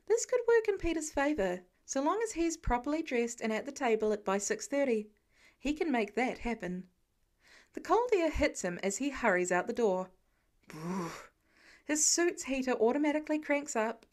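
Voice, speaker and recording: A woman reading a story aloud as scripted narration for an amateur audiobook. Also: a little high. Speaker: fan fiction audiobook reader.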